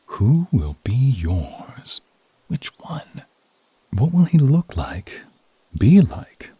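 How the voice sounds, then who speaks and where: A man narrating a scripted ASMR story in a dramatic, hushed manner that often drops into a whisper. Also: mid-range voice, sing-song, extremely slow, slightly rough, no echo. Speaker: narrator of an audio roleplay.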